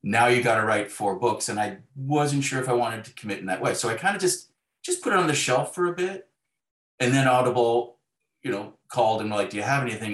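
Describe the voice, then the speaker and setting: Male author guest, lowish pitch, wry and self-effacing in casual interview talk, roomy echo. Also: fairly bright tone. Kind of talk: casual reflective interview conversation. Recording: echoey room.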